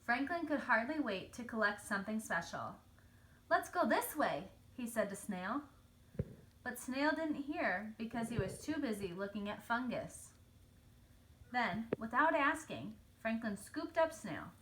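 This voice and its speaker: A woman reading a children's story aloud as narrator, telling it clearly for young children. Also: a little high, animated, a little slow, fairly bright tone, slight background noise, rough recording. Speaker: storybook reader.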